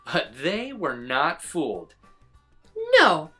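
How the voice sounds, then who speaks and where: A woman reading a children's picture book aloud as an expressive storyteller. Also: deep voice, measured pace, faint music behind.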